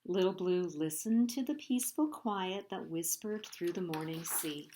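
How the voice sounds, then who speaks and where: A woman hosting storytime, reading a children's picture book aloud in an expressive, deliberate way. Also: fairly low pitch, slight background noise, poor audio.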